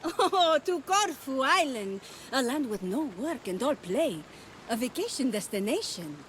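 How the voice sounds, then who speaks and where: Upbeat boat woman, high lively pitch, salesy, enticing, video game cutscene. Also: a little slow, heavily acted. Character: cheery ancient Greek boat woman selling passage. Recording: background ambience noise.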